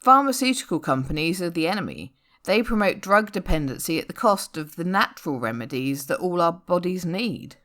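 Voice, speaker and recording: Woman acting out a preachy New Age woman, animated. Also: on the low side, brisk.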